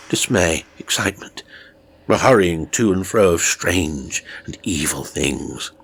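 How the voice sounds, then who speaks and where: Deep-voiced man narrating horror. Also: a bit bright, slightly rough. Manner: measured, suspenseful, theatrical delivery. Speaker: audiobook narrator. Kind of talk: dramatic horror story narration.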